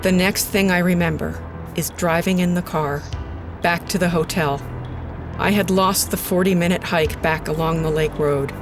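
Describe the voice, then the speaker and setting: Uneasy female radio storyteller, played by an actress, lowish voice, measured scripted narration over clear music. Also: fairly even intonation, natural voice. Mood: foreboding, measured. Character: uneasy female radio presenter recounting an eerie investigation. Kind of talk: scripted first-person narration.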